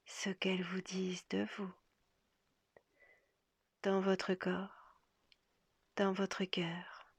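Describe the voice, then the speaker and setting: A woman guides a relaxation session in a soft, half-whispered voice with a very creaky edge. She speaks gently and very slowly, leaving long pauses between phrases. The recording is close and dry. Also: medium pitch, no echo. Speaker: yoga nidra guide.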